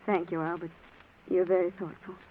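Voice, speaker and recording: A woman's voice: fairly low pitch, level tone, normal speed, lightly acted. Woman playing worried sweet wife in a 40s radio drama, tinny and hissy. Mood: subdued. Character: grieving, anxious young wife, gentle and trusting. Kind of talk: 1940s radio melodrama acting. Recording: thin, hissy old radio broadcast.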